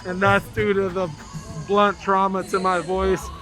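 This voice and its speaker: A hoarse man, a protester, recounting what happened in an earnest interview outdoors on a city street. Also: high-pitched, little pitch movement, a little slow, pushed and bright, soft background music. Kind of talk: interview testimony.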